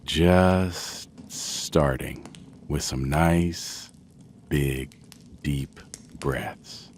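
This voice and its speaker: Very deep-voiced man, slow sleep meditation. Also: slight background noise. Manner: gentle, soothing, measured with long pauses. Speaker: guided meditation narrator. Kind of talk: slow guided sleep meditation, scripted narration.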